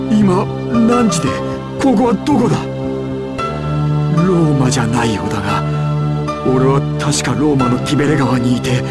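High, panicked anime villain. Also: male voice. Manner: gasping, dramatic, halting. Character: panicked, tormented male crime boss villain. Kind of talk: anime video game voice acting. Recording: loud background music. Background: loud music.

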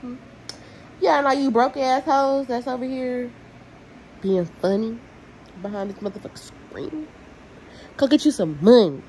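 A woman's voice: fairly high pitch, moderate pace, pauses often, darkish tone, a little hiss or hum, low-quality recording. A young woman, chatting casually and bluntly to her vlog audience while tallying money aloud. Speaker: vlogger. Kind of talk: casual vlog talk to camera.

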